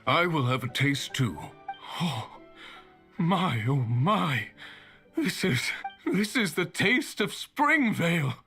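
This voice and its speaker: Slow, wistful man of old. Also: a little high, very animated, pushed and bright, soft background music, exaggerated voice. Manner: slow, reflective. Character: wistful, solemn man from a bygone era, fantasy game. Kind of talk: game voice acting.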